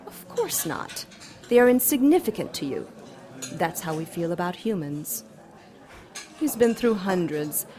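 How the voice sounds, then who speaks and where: A cold, amused demon queen who talks down to humans in a lowish, unhurried voice. She mocks slowly and sounds sure of her power. A murmur of crowd noise runs behind her in this audio drama. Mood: scornful. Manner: haughty, slow and mocking.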